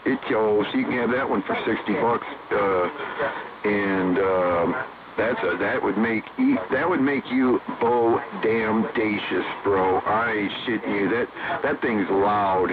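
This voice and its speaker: A man's voice: on the low side, slow. A man chatting casually over CB radio as an operator, rambling and slangy with the odd swear word. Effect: heard over CB sideband radio. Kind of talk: casual on-air radio chat. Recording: noisy, narrow-band CB radio transmission.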